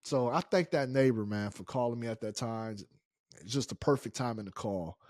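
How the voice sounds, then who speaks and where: A middle-aged American man hosting a casual story time, speaking in an animated, indignant and emphatic way. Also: mid-range voice, normal speed, dry recording, studio quality. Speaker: story-time show host.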